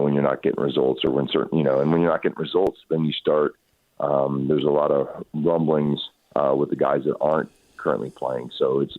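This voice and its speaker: Very deep male coach on a phone line. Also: no echo. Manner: halting, thoughtful, repeats words. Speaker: professional soccer head coach. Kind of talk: radio interview answers. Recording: muffled narrowband phone line.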